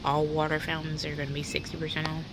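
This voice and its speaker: A woman's voice: low-pitched, completely flat delivery, quick pace. A woman vlogging as she walks through a large store, reading out sale prices in a chatty, practical way on her phone camera. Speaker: shopping vlogger. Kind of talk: walk-through store haul narration. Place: large indoor retail store. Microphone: handheld phone camera. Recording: store ambience noise behind. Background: store ambience.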